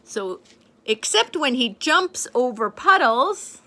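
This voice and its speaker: An older woman reads a picture book aloud to a little one, slow and unhurried. Her voice sits high and bright, gentle and playful as she points out what is on each page. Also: sing-song. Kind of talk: storybook read-aloud to a child.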